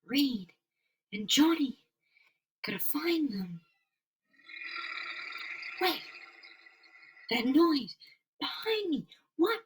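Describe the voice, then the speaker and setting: Guy doing a young comic book heroine, falsetto high, slow and swoopy, melodramatic. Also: very animated, heavily acted, rough recording. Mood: alarmed. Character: spirited young superhero woman, alert and anxious. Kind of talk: dramatic comic-book voice acting.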